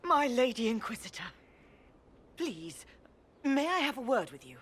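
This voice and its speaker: A woman's voice: a little high, very animated, measured pace, fairly bright tone, husky, exaggerated voice. Insistent, solemn revered mother. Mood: solemn pressing. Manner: formal, pressing, pleading. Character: insistent, solemn revered mother, senior fantasy church cleric. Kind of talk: video game cutscene acting.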